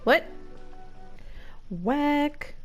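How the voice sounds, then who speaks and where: A woman streamer giving playful, theatrical gameplay commentary with deadpan joking, game music behind her. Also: high-pitched, drawn-out words. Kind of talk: playful live gameplay commentary with improvised dramatics.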